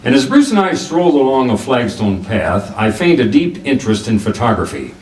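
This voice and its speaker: An actor playing a wisecracking private detective in a hardboiled radio drama, speaking in a dry, sardonic, colloquial way. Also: male voice, mid-range voice, big pitch swings, a little fast, darkish tone, close to an everyday voice. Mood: wry narrating. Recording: roomy, distant microphone.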